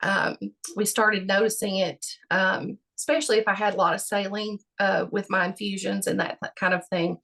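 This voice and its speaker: A woman with a gravelly voice answering interview questions about her health, halting and full of fillers. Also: medium pitch, level tone, fairly bright tone. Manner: halting, many fillers and restarts. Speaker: patient guest.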